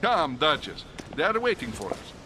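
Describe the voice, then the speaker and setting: Game role: urbane, sly cardinal, male, mid-pitched and very expressive, noisy rumble behind. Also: moderate pace. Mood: sly, knowing. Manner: courtly, knowing. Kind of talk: video game cutscene acting.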